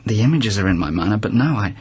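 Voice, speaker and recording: A tormented poet in a radio play, low husky voice, intense and lyrical. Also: male voice, animated, quick pace, a little mellow. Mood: wistful, puzzled. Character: brooding, tormented male court poet, intense and troubled. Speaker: actor in a radio drama. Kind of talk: radio drama acting.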